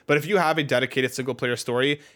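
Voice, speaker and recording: A man's voice: mid-range voice, steady tone, fast talker, a bit bright. A man co-hosting a casual gaming podcast, talking through opinions and listener comments. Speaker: podcast co-host.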